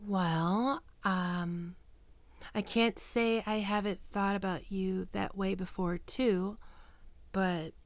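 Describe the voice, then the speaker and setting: A woman playing a guilt-ridden, tender young ghoul best friend in an intimate ASMR roleplay, speaking softly and haltingly with emotion. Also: mid-range voice, steady tone, dry recording. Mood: hesitant, nervous. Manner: soft, hesitant, emotional, halting.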